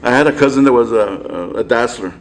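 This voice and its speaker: Upbeat South Texas man, casual sports interviewer, mid-pitched. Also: fast. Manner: chatty, upbeat, laughs often.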